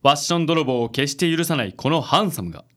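A man's voice: a little high, animated, fast talker, fairly bright tone. A man playing a suave, overzealous, self-styled handsome man in a comic radio drama, pompous and passionate, sprinkling in English words. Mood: pompous, confident.

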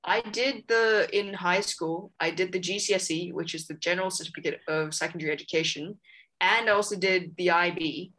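A woman's voice: on the low side, brisk, a bit bright, rough recording. Young woman from Hong Kong, emphatic and indignant. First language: English. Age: young adult. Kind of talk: casual chat.

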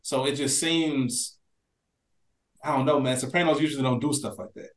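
A man's voice: medium pitch, fairly even intonation, normal speed. A man co-hosting a podcast, chatting casually with slang, swearing and strong opinions, in an echoey room. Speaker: podcast co-host. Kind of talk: casual chat.

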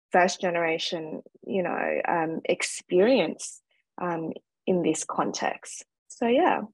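A woman with an Australian accent talks as a podcast guest, sharing her background in a candid, reflective way. Her voice sits on the low side. The recording is dry, with no room sound. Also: dry recording, rough recording. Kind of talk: casual interview conversation.

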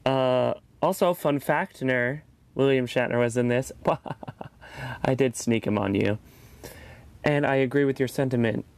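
A man's voice: mid-range voice, unhurried, vocal fry, pauses often. A man hosting a podcast in casual chat, with jokey, playful asides. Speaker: podcast host.

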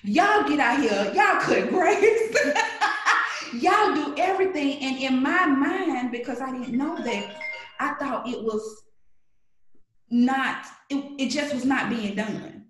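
A middle-aged woman from Alabama with a Southern accent, telling stories in an animated, playful way as a guest on a podcast. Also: high voice, slow pace, roomy sound, slight background noise. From Alabama, USA. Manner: animated storytelling, playful, acts out voices in quotes. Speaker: guest, grocery shopper. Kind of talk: casual podcast conversation.

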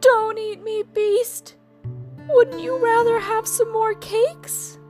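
A woman's voice: fairly even intonation, slow pace, over music. A woman voicing a frightened but plucky young pastry-maker boy in a children's story, urgent and exclaiming. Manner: high, urgent, exclaiming and pleading.

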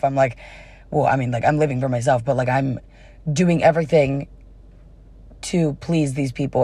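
A woman's voice: low-pitched, warm tone, dry recording. A young adult woman hosting a casual solo podcast in an informal, chatty way, her voice slightly worn as if she is starting to lose it. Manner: informal, giggly, uses y'all. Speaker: podcast host.

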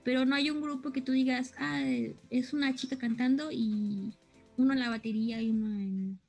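Young woman with a high voice, relaxed conversational co-host who searches for words. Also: normal speed, soft background music. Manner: chatty, searches for words, trails off. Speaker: co-host explaining anime terms. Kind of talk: casual chat.

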